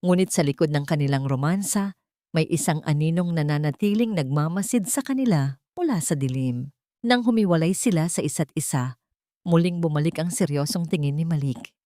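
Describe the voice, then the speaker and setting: Woman, lowish voice, scripted romantic drama narration, dramatic storyteller delivery, dry studio-like sound. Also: normal speed, dark tone, dry recording.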